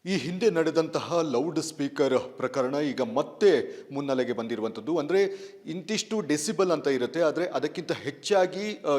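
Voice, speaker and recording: A man's voice: medium pitch, a little mellow, long reverb tail. A man reporting and interviewing for television news, with hesitant, wordy phrasing full of filler sounds.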